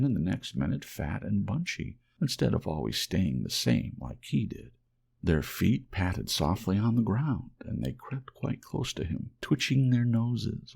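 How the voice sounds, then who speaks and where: Deep, gravelly man reading a storybook. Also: darkish tone. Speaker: audiobook narrator. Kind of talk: ASMR storybook narration.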